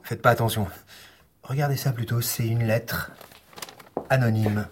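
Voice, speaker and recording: A man's voice: medium pitch, steady tone, unhurried, breathy edge. A man playing a weary, sardonic police detective in a naturalistic audio drama, with a dry, grumbling way of talking. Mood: wary, grumbling.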